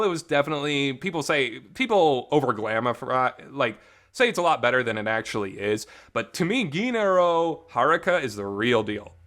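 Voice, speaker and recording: A man's voice: mid-range voice, fairly bright tone. A man giving a casual, unscripted review in a blunt, rambling way, repeating words for emphasis and swearing. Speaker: reviewer, vlog host. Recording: reverberant home recording.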